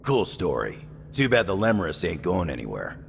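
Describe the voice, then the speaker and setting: An actor playing a cocky, gruff action-game soldier with a gravelly voice and curt, deadpan quips. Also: male voice, sits low, normal speed, soft background music, full character voice. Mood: unimpressed. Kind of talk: video game cutscene acting.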